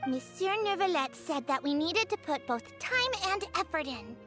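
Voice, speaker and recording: A woman's voice: sits high, moderate pace. A woman voicing a small, sweet, stubbornly hopeful girl creature in a video game, childlike and earnest. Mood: wistful, determined. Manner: earnest, expressive. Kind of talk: video game character acting. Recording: music behind the voice. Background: game music.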